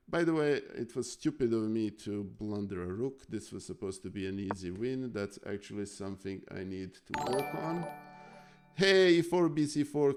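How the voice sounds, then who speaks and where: A man talks slowly at a desk microphone, going over chess games on a live stream. His low, gravelly voice stays smooth and clear. He halts often with fillers as he thanks his viewers. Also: darkish tone. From Serbia. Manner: halting, many fillers, thanks chat viewers. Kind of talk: casual live stream chat and chess analysis.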